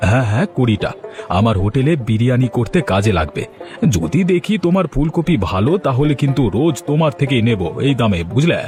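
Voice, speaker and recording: A man's voice: mid-range voice, big pitch swings, a little fast, close to an everyday voice. A man playing a talkative, coaxing biryani eatery owner and doting husband in a Bengali cartoon. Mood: bargaining. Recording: music behind the voice.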